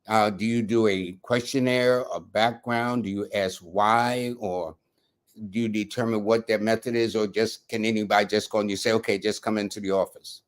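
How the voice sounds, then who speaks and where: A man's voice: on the low side, fairly even intonation, moderate pace, clean tone. A man hosting an interview, asking rambling, conversational questions. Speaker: podcast host.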